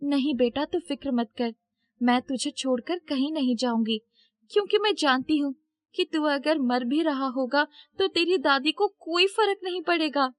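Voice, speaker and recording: A woman acts out a poor young mother from a cartoon moral tale. Her voice sits very high, shifted up well above an everyday register. She speaks earnestly and emotionally, with a devoted, pleading edge. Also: moderate pace, fairly bright tone. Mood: anxious, caring. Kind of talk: Hindi moral story cartoon dialogue.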